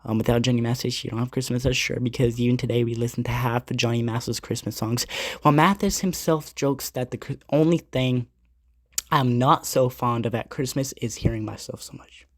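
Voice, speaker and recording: A man's voice: medium pitch, brisk, dry recording, clean studio sound. A man hosting a casual podcast, rambling through notes with asides and self-corrections, his nose stuffy from a cold. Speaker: podcast host. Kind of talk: casual podcast monologue reading album facts.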